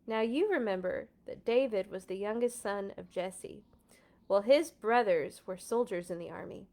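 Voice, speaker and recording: A woman hosting a children's read-aloud, expressive, with dramatic playful delivery and casual asides. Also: mid-range voice, normal speed. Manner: dramatic, playful sound effects.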